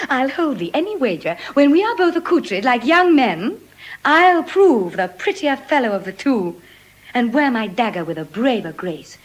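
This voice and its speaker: An actress playing a witty, high-born young lady with theatrical mischief, in classical Shakespearean style. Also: heavily acted, low-quality recording. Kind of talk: classical Shakespearean stage acting.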